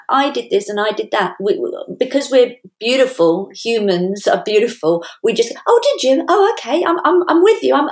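A woman's voice: mid-range voice, a little mellow. A woman speaking thoughtfully as a guest writer in a literary podcast conversation, animatedly voicing imagined replies as she explains. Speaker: guest novelist and writing teacher. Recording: roomy, echoey sound.

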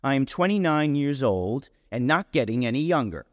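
A man's voice: mid-range voice, expressive, normal speed, clean studio sound, lightly acted. A pompous, wounded young man performed by a male story reader declaims a letter with indignant oratory. His mid-range voice carries through a hall with long reverb, in the manner of a staged storybook reading.

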